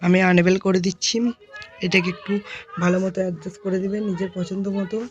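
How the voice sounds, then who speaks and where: Tutorial host with an even, unvarying delivery. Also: medium pitch, fast, muffled recording, faint music behind. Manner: informal instruction, addresses viewers as friends. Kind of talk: step-by-step software tutorial narration.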